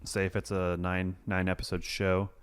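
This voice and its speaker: Deep-voiced, easygoing man. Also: monotone, normal speed, smooth. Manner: easygoing, self-deprecating. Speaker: podcast co-host. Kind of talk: casual chat.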